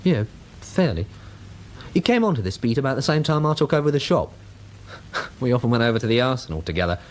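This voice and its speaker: An actor playing a chatty, shaken working-class London fish bar owner in a radio drama, talking colloquially and hesitantly. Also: male voice, medium pitch, very animated, fast talker, a little mellow, a little hiss or hum, natural voice, poor audio. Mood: shaken, wistful.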